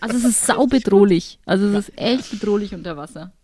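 A woman's voice: medium pitch, fast, a little mellow, dry recording. A woman co-hosting a casual chat, speaking in a colloquial, emphatic way. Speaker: podcast co-host.

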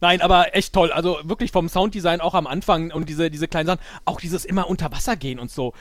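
A man with a fairly high voice talks in an animated, casual way. He is given to exclamations and slips into little quoted asides. The sound is dry, with hardly any room around him. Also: quick pace, no room sound. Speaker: podcast guest and improv player. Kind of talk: casual chat, debriefing an improv audio game.

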